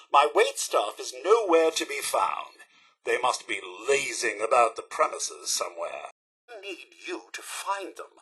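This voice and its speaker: Fussy British robot butler, wildly swooping pitch. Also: male voice, pushed and bright, husky, full character voice, low-quality recording. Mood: haughty, exasperated. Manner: formal, florid, servile diction with dry wit. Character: fussy, posh, polite male robot butler. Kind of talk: theatrical video game character acting.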